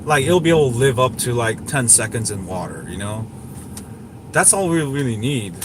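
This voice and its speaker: A man's voice: mid-range voice, lively intonation, moderate pace. Guy livestreaming, rambly casual opinions, mid voice, traffic-like noise behind him. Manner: rambling, opinionated, repeats himself. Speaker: tech livestream host. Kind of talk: casual livestream chat, answering viewers.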